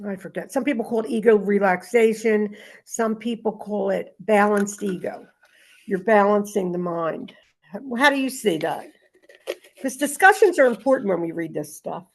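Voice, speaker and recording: A woman's voice: medium pitch, vocal fry. A woman leading a spiritual study group, reading aloud and talking things through informally. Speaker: study group reader and discussion leader.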